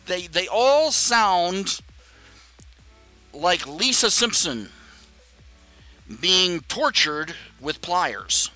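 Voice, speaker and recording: A man's voice: high voice, big pitch swings, very slow, pauses often, bright, forceful tone, soft background music. A man hosting a live stream, talking in a casual rambling monologue with many stammering restarts and chuckling asides.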